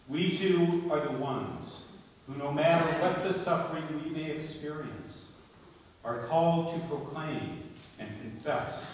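Slow, solemn male preacher in an echoing church. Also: medium pitch. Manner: solemn, deliberate, phrase by phrase with emphatic stresses. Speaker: bishop preaching a homily. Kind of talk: sermon. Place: large stone-walled church, at the ambo before a congregation. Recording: very echoey church, distant mic, faint noise.

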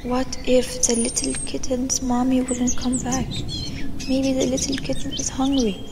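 Birdsong and noticeable background noise surround a high young voice. It is a woman playing an eager, tender-hearted little girl in a children's audio story, speaking earnestly and full of questions. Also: completely flat delivery, unhurried. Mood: anxious, curious.

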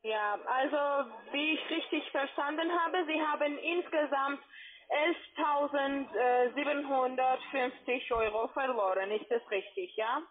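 A woman with a foreign accent, speaking a second language hesitantly as she explains a sales pitch over the phone, often adding questioning checks. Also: measured pace. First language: not German, speaks it as a second language. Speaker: call-centre caller posing as financial adviser. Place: phone call. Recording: tinny narrow-band phone line.

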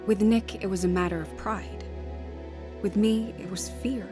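An actress playing an anxious, earnest young wife terrified of death, in a radio drama. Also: female voice, medium pitch, fairly even intonation, measured pace, loud music behind the voice, close to an everyday voice. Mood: brooding. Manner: earnest, fretful. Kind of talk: radio drama acting and narration.

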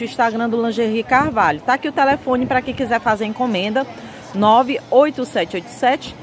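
High-voiced Northeastern Brazilian woman, market vlogger. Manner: chatty, addresses viewers as friends. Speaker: shopping vlog host. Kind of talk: enthusiastic sales-style vlog presenting. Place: indoor market lingerie stall.

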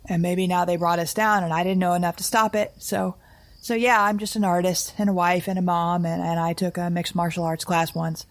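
Actress as a creaky-voiced, anxious woman survivor. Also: lowish voice, flat delivery, no room sound, lightly acted. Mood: uneasy, rambling. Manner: halting, rambling, self-correcting, wry. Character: anxious, wry, weary woman survivor keeping a tape diary. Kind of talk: naturalistic audio drama monologue.

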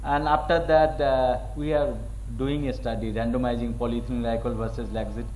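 A man with an Indian accent moderating a medical panel discussion in a halting, repetitive way. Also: mid-range voice, steady tone, a little mellow. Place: conference hall with panel table. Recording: noisy conference hall recording.

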